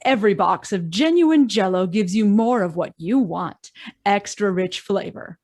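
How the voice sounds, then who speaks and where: A woman's voice: expressive, a little slow, a little mellow, no echo, clean studio sound. A woman reading an upbeat, persuasive old-time radio commercial over a video call. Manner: upbeat, persuasive sales pitch. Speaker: radio announcer. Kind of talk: vintage radio ad.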